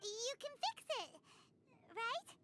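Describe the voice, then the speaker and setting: A woman voicing a small, excitable, childlike fairy companion in a video game, squeaky and perky. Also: slow pace, noisy background, heavily acted. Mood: curious, eager. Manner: perky, speaks of self in third person. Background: game music.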